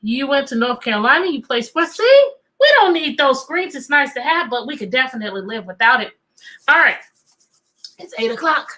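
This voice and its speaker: A woman teacher hosting an interactive read-aloud for children, talking in an animated way and putting questions to her young listeners. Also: high-pitched, moderate pace. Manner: animated, asking listeners questions and repeating them.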